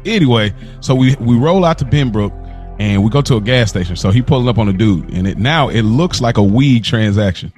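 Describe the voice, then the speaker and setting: A young man co-hosting a podcast in casual chat, telling stories in an animated way and laughing often. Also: mid-range voice, a little fast, soft background music. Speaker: podcast co-host.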